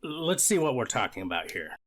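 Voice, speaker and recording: A man's voice: medium pitch, sing-song, brisk, a bit bright, slightly rough. A man playing a formal, methodical court official in a scripted readers theater courtroom drama. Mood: procedural, authoritative. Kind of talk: amateur readers theater, scripted legal reading.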